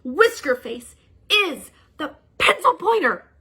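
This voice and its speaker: A woman voicing an excitable male turtle professor, talking in a high, worked-up way in a read-aloud storybook. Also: takes it slowly, exaggerated voice. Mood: alarmed.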